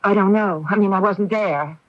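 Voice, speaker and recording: Young female neighbor played by an actress, polite, evasive, halting; radio drama, lo-fi broadcast. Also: medium pitch, lightly acted. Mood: hesitant, apologetic. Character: polite, evasive young woman, newly arrived summer neighbor. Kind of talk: 1980s radio drama acting. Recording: dull, lo-fi 1980s radio broadcast.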